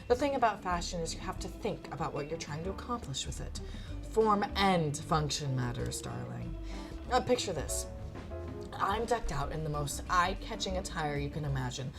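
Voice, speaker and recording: A woman's voice: low voice, expressive, moderate pace. A woman voicing a suave, theatrical, fashion-obsessed animal in a visual novel, with a drawling, affected, pompous delivery. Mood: grandly self-satisfied. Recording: music behind the voice.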